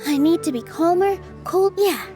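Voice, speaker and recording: Gentle, high-voiced young dancer. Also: female voice, animated, moderate pace, faint music behind. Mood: uncertain, resolving. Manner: gentle, thoughtful, sincere. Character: gentle, earnest young female theater dancer. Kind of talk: video game dialogue acting.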